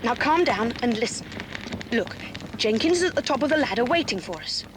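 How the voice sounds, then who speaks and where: A woman with an English accent playing a brave, no-nonsense schoolgirl in a children's audio drama, brisk and commanding. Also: a little high, expressive, background noise, close to an everyday voice. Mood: firm, coaxing.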